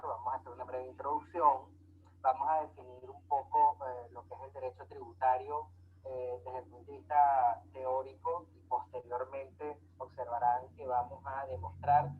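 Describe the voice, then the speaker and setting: A man from Venezuela, giving a measured online lecture with frequent hesitations. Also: medium pitch. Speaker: lecturer on tax law. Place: home, remote online talk. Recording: tinny phone-like audio, faint noise.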